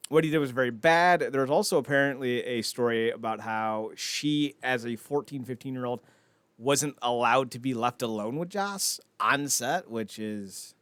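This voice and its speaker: A man's voice: mid-range voice, fairly bright tone, no room sound. A man in his thirties hosting a podcast, giving casual, wry and opinionated commentary on entertainment news with joking asides. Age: 30s. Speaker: podcast host.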